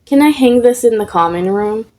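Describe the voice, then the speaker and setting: A woman reading the part of a calm, odd teenage boy in a story, earnestly deadpan. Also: on the high side, normal speed, pitched above the performer's own voice, lightly acted. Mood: unbothered.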